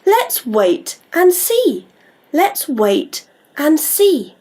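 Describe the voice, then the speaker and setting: A British woman voicing a gentle young girl in a folk tale, pleading in a drawn-out singsong. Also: sits high, sing-song, heavily acted.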